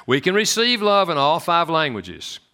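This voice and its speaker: Animated Southern man speaking on marriage. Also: moderate pace. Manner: animated and joking, swoops in pitch. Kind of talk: church talk to an audience.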